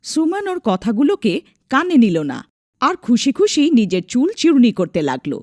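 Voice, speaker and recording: A woman narrating a scripted animated folk tale as its storyteller. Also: high voice, normal speed, no echo, studio quality.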